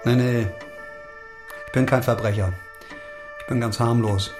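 An actor playing a quiet, uneasy middle-aged man on the run in a radio drama, mild and hesitant. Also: medium pitch, steady tone, measured pace, music in the background, lightly acted. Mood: uneasy, evasive. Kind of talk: German audio drama acting.

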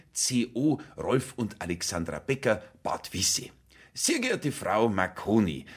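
Lively man reading formal letters theatrically. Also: mid-range voice, husky. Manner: mock-official, formal, theatrically emphatic. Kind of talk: dramatic reading of official letters.

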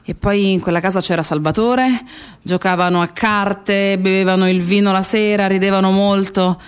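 A woman's voice: medium pitch, flat delivery, clear, resonant tone, natural voice. Actress as wistful woman remembering a lost friend. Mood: fond reminiscence. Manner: reflective, with pauses and repetitions. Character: grieving woman fondly remembering her murdered close friend. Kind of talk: dramatic monologue in radio theatre.

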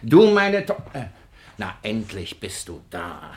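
A man's voice: on the low side, wildly swooping pitch, slow, a little mellow, exaggerated voice. An actor playing a fussy, bossy old miser in a radio drama, stammering and repeating himself as he orders his household about. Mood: stern, impatient. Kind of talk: theatrical German radio drama acting.

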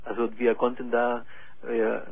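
A man in his forties from Chile, calling in to a radio show and speaking haltingly with many pauses and fillers. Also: medium pitch, completely flat delivery, vocal fry, narrow, tinny audio. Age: 40s. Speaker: caller. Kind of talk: call-in radio conversation.

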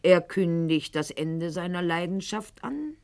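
A proud lady of the aristocracy delivers a radio drama monologue in a lowish voice. She takes her time over every literary phrase, articulate and haughty, with irony over a wounded core. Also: lively intonation, unhurried, a little mellow. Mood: scornful, ironic.

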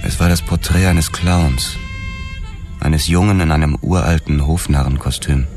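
A world-weary male investigator narrates a noir radio drama in a very deep voice. He sounds haunted and brooding, and music plays behind him. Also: steady tone, unhurried.

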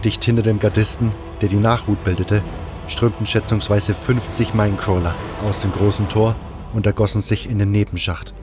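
Guy reading a first person fantasy audio drama, lowish voice, music behind. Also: fairly even intonation, moderate pace. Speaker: narrator.

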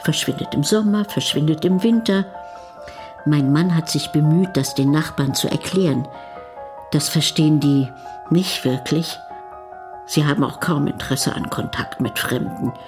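A woman's voice: low voice, animated, moderate pace, music in the background. A woman playing a candid older retired wife in a radio documentary play, plain-spoken and conversational. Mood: wry, resigned. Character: candid older retired wife, a grandmother living between cultures.

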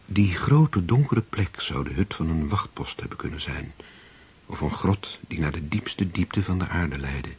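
A man with a husky, rough voice reading scripted storybook narration as narrator of a radio drama. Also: low voice, lively intonation, unhurried, darkish tone. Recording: dull old radio recording.

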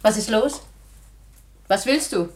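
An actress in a 1960s German radio play playing a hard-hearted wife, curt, sharp-tongued and dismissive. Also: female voice, a little high, animated, slow pace. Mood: curt, scornful. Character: cold, scornful, hard-hearted wife turning husband away.

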